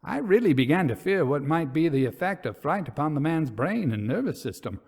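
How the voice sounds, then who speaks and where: A male storyteller delivers a gothic tale with theatrical flair. His voice sits a little high and moves widely up and down, placing phrases deliberately to build suspense. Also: sing-song, a little mellow, husky. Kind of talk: dramatic reading of a gothic ghost story.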